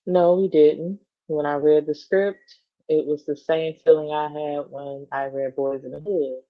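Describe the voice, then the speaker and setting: A woman with a gravelly, fry-edged voice reads a magazine interview aloud as a show co-host. Her delivery is informal, like reading to a friend. The sound is somewhat dull and lo-fi. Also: sits low, fairly even intonation, unhurried. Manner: casual, informal asides breaking up the reading. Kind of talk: read-aloud of a magazine interview with casual asides.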